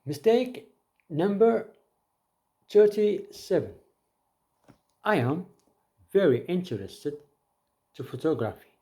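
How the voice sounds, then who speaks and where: A man teaching an English grammar lesson, reading each example sentence and then repeating it in a slow, deliberate way. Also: high voice, sing-song, mellow.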